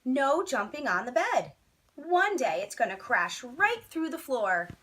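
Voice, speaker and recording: An exasperated storybook father, voiced by a woman, scolding with stern emphasis. The delivery is bright and forceful, with lively swings in pitch. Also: measured pace, exaggerated voice.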